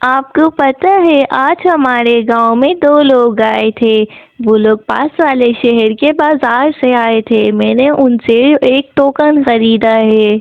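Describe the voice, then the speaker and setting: A woman voicing a gentle, hopeful village housewife in a story, polite and homely in manner. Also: a little high, takes it slowly, dry recording, phone-quality sound. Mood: hopeful, excited.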